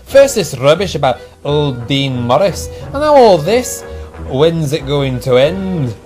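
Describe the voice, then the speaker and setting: Man doing a mocking steam engine, pitched up. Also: animated, slow, soft background music. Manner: mocking, scoffing. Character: rude, teasing Scottish male steam engine twin. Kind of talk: children's storybook character acting.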